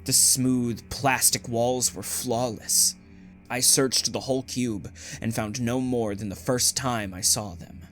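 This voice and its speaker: A man's voice: mid-range voice, steady tone, takes it slowly, close to an everyday voice. An intense, desperate poet condemned to die in a bleak future , played by a male actor in an audio drama. His voice sits in a middle range and is theatrical and earnest. Music plays underneath. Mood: grim recounting. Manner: intense, pleading, stammering when distressed. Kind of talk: dramatic audio drama acting with first-person narration.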